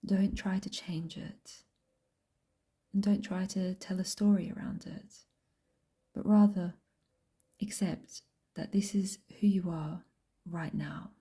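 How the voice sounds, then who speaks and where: A woman guiding a meditation in a soft, breathy whisper, speaking gently and unhurriedly with long pauses. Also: lowish voice, fairly even intonation. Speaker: meditation guide and host. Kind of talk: slow guided meditation, soft whispered speech.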